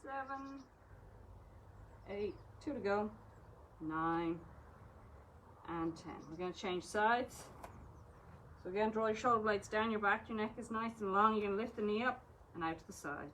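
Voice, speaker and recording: A woman's voice: mid-range voice, unhurried, pauses often, fairly bright tone, slight background noise. A woman with an Irish accent teaching a live exercise class, cueing each movement and counting reps with encouragement. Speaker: fitness instructor.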